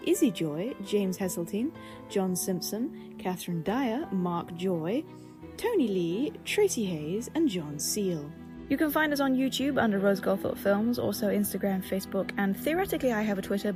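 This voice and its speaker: A woman producer presenting a podcast outro with credits and thanks, in an informal, wry way. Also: medium pitch.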